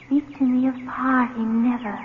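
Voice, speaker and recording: Woman acting a haunting, yearning, high-voiced lover. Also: little pitch movement, moderate pace, in a large hall, narrow, tinny audio, slight background noise, natural voice. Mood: yearning, insistent. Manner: theatrical, yearning, insistent. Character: haunting, devoted woman, an apparition pleading for love. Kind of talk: vintage radio mystery drama acting. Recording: thin vintage radio recording with music.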